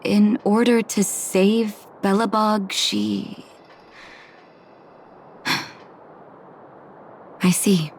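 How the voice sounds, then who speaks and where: A woman voicing a gentle, soft-hearted healer in an anime-style game, tender and soothing in manner. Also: medium pitch, fairly even intonation, extremely slow, gravelly, long pauses, a little hiss or hum. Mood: tender, solemn.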